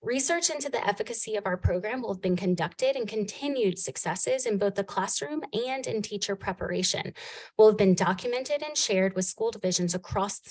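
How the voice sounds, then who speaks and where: A soft-spoken woman reading prepared remarks in a formal presentation to a committee, heard on a distant mic in a meeting room. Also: medium pitch, expressive, brisk. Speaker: program presenter.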